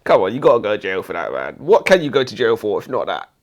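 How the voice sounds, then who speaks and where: A man's voice: fairly low pitch, wildly swooping pitch, fast talker. A British man bantering casually as a book review co-host, blunt, opinionated and jokey.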